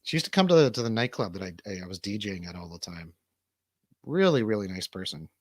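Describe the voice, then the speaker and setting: Lively man chatting as show host. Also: fairly low pitch, fast, no room sound, studio quality. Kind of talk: casual chat.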